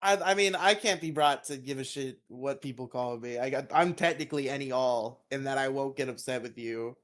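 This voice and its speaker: A man with a fairly high voice, co-hosting a podcast in casual chat, laughing often, on a headset mic. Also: fairly quick, fairly bright tone. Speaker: podcast co-host.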